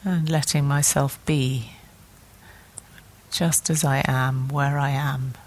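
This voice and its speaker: Slow, low-key woman guiding meditation. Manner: calm, gentle, short phrases with long pauses. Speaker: meditation teacher. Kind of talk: guided meditation.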